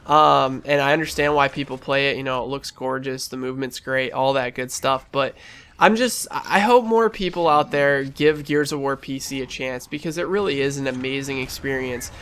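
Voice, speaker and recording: A young man commentating over his gameplay in a casual, rambling, enthusiastic way, sounding stuffy and a little hoarse. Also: mid-range voice, normal speed, a bit bright. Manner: rambling, enthusiastic, lots of fillers and restarts. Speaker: gaming channel host.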